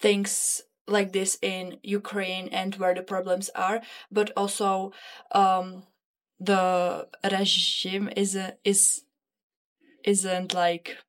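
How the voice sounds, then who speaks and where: A young woman, a student, hesitantly giving her opinion in an informal discussion. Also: medium pitch. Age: young adult. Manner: hesitant, halting. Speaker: student panelist.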